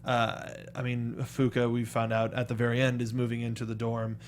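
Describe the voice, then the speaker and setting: A man's voice: medium pitch, completely flat delivery, normal speed, a little hiss or hum. An American man co-hosting a review show, talking casually with slangy, enthusiastic fan talk. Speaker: co-host of a film review show. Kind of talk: casual panel chat and review.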